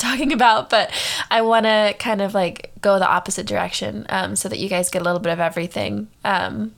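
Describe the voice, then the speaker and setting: A young woman with a cold, sounding congested, chatting and rambling as a podcast co-host on a solo episode. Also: medium pitch. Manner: rambling, chatty, laughs often.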